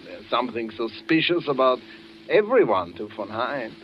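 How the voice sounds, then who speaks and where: An actor playing a jovial, slyly menacing official in a 1940s radio drama, with a chuckling, unhurried manner. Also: male voice, medium pitch, sing-song, lightly acted. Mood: slyly ominous. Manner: chuckling, unhurried, tags questions with eh and no. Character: jovial, food-loving, slyly menacing German official Herr Müller. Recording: muffled, noisy 1940s radio recording.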